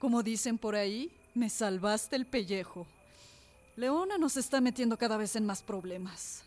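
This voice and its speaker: A woman's voice: fairly high pitch, expressive, normal speed, a little mellow, close to an everyday voice. A woman acting in a radio drama as a young, cautious widow of a colonial family, polite and evasive in manner. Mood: wary.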